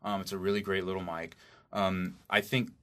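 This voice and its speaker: A man with a low voice answers interview questions as an audio engineer. He explains things informally, often halting to think aloud and rephrase. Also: flat delivery.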